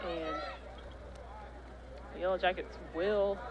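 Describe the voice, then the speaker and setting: A man's voice: high voice, takes it slowly, lo-fi sound. A man doing play-by-play for a college football game from a stadium press box, explaining each play at length. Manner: animated, explains the defense at length. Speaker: play-by-play commentator. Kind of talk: live football commentary. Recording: loud crowd and stadium noise. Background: loud crowd.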